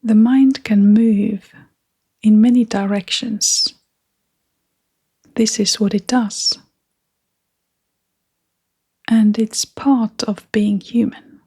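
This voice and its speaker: Slow, breathy woman guiding meditation. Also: medium pitch, steady tone. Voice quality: soft, breathy, half-whispered. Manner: gentle, unhurried, with long pauses. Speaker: meditation guide. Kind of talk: gentle guided meditation.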